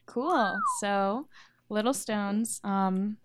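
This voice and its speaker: A woman's voice: mid-range voice, lively intonation, extremely slow, background noise. A woman chatting casually as a band member being interviewed. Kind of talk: casual chat.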